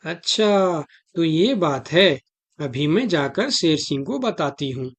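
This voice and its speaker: A man's voice: a little high, animated, slow pace, heavily acted. A man playing an alarmed small jungle animal in a cartoon, with an urgent, pleading manner. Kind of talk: Hindi animal cartoon dialogue.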